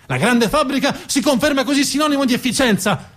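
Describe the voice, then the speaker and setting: A man delivering a dramatic narrative theatre monologue with emphatic, deliberate phrasing. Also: very high voice, lively intonation, fairly bright tone. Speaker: stage storyteller, actor.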